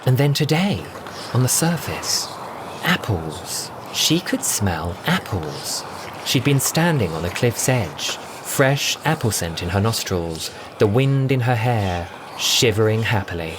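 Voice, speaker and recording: A man narrating a scripted science-fiction story with a theatrical, expressive delivery. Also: lowish voice, takes it slowly, background noise. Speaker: audiobook narrator and voice performer. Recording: loud music bed under the voice. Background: music.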